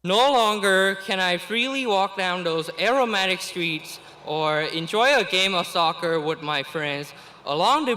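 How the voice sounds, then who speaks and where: A man's voice: sits high, sharp tone, a little hiss or hum. Earnest young man. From Vietnam. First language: Vietnamese. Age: late teens. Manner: earnest and deliberate, with small laughs between phrases. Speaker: graduating student giving the commencement speech. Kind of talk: reading a prepared commencement speech.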